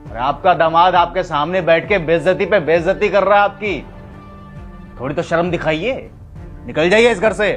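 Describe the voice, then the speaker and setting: A man's voice: medium pitch, moderate pace, long pauses, a bit bright, close to an everyday voice. A man playing an angry, domineering husband in a melodramatic family drama, speaking scornfully and insistently to his mother-in-law. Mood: outraged. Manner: scornful, confrontational, repeats demands insistently. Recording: dramatic music underneath.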